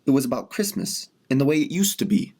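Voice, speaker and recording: Man playing a husky, nervous, earnest guy. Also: medium pitch, big pitch swings, fast, dark tone. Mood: flustered. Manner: hesitant, stammering, earnest. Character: nervous, earnest thirty-year-old man, naive Christmas believer. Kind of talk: high school radio drama acting.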